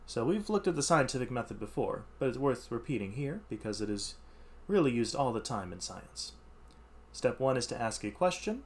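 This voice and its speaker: A man's voice: mid-range voice, very animated, normal speed. A man reading educational text aloud as a teacher, stressing key words and adding informal explanatory asides.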